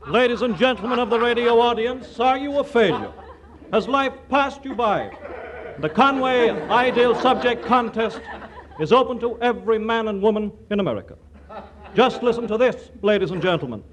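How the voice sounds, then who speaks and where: Casting: theatrical radio huckster, extremely high male voice, gushing, vintage comedy announcer. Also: expressive, normal speed, a crowd in the background. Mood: gushing, salesy. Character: showy male radio pitchman plugging a contest.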